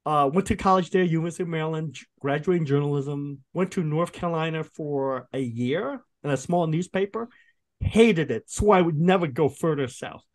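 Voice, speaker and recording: A man in his fifties, born in Vietnam, chatting as a podcast guest, telling animated stories with frequent laughs and restarts. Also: fairly high pitch, fairly quick. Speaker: guest, food writer. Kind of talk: casual podcast interview.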